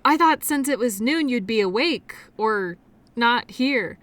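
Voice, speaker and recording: A woman's voice: on the high side, animated, unhurried, fairly bright tone. A woman playing a shy, awkward, kindly medical worker in an audio roleplay, talking in a hesitant, rambling, apologetic way. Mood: flustered, impressed. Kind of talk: audio roleplay acting, addressing the listener.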